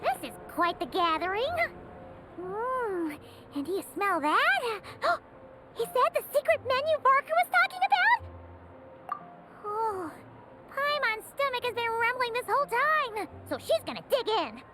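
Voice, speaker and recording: A woman voicing a tiny, childlike fairy companion in a video game, squeaky and bubbly. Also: very animated, a little slow, heavy background noise, exaggerated voice. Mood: excited, hungry. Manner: bubbly, refers to self in third person. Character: tiny excitable childlike female fairy companion, hungry, speaks of herself by name. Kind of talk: video game character acting.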